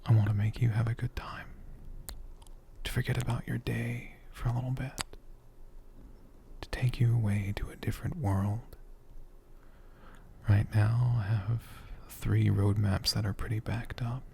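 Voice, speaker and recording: A man speaking as an ASMR host in a soft, gentle, hushed whisper, taking his time. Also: lowish voice, level tone, unhurried, gravelly, pauses often, a little hiss or hum.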